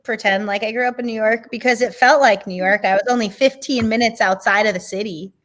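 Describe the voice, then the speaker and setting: A woman's voice: on the high side, steady tone, fairly quick, fairly bright tone. An American woman from New Jersey chatting casually as an interview guest. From New Jersey, United States. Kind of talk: casual chat.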